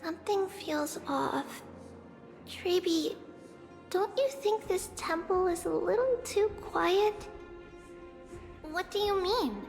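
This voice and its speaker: Very high-pitched little anime girl. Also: slow pace, creaky, long pauses, heavily acted. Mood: pensive, uneasy. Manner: cute childish delivery, speaks of themselves by name. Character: childlike little girl oracle, earnest and excitable. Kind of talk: anime-style video game voice acting. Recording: long reverb, loud music behind.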